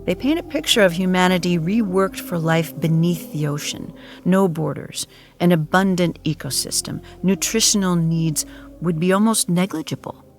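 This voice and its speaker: A woman narrator giving a solemn, measured, dramatic documentary-style narration for an audio drama. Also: lowish voice. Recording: clear music behind.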